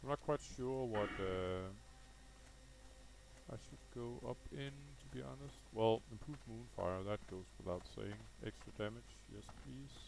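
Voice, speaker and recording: A man's voice: medium pitch, a little slow, gravelly, background noise. A man with a Danish accent, a native Danish speaker, gives casual unscripted gameplay commentary, thinking aloud hesitantly and correcting himself as he goes. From Denmark. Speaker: gaming video host.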